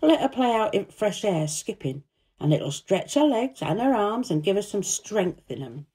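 A woman voicing a cheerful, warm-hearted young Yorkshire housemaid in a storybook, chatty and blunt in dialect. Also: medium pitch, very animated, moderate pace, slightly rough. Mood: encouraging.

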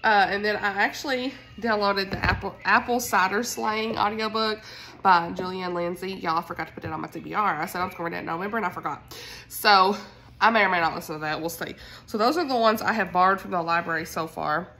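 A woman's voice: mid-range voice, quick pace, a little hiss or hum. Congested Southern woman chatting casually. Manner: chatty, informal, expressive. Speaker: book vlogger. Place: at home.

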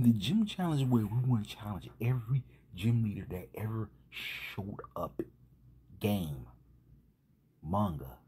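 A man giving informal video commentary as host, husky and breathy, emphatic and halting, full of rhetorical questions. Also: lowish voice, mellow.

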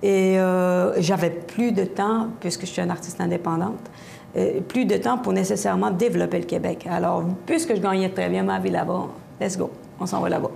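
A middle-aged woman from Havre-Saint-Pierre with an Acadian accent, answering a TV interviewer in a studio on a lapel mic, lively and talking with her hands, with many fillers and restarts. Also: lowish voice, moderate pace, vocal fry, slight background noise. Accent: Acadian French. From Havre-Saint-Pierre, Quebec. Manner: expressive, gestures a lot, many restarts and fillers. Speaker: singer-songwriter guest. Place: TV studio set.

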